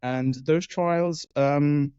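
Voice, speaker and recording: A man's voice: a little high, steady tone, drawn-out words, darkish tone, clean tone, no room sound. A man in his forties from Wales, a neuroscientist answering interview questions thoughtfully, with many fillers and restarts. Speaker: guest neuroscientist. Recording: dull lo-fi audio.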